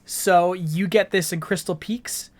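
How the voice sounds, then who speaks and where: A man's voice: high voice, fairly even intonation, measured pace. A man hosting a podcast, explaining a video game in a casual, articulate way and steering the discussion. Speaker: podcast host.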